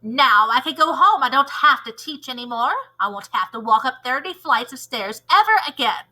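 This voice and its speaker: A woman's voice: high voice, animated, moderate pace, pushed and bright, full character voice, poor audio. A woman voicing a mean, bossy female schoolteacher in a storybook, with a stern, threatening manner. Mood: pleased.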